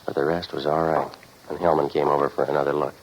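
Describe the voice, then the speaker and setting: An actor playing a cynical, deadpan private investigator in a scripted old-time radio detective drama. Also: male voice, rumbling low, fast. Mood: dry, sardonic. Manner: deadpan, sardonic. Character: cynical hard-boiled private investigator, wisecracking loner. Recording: noisy muffled old radio recording.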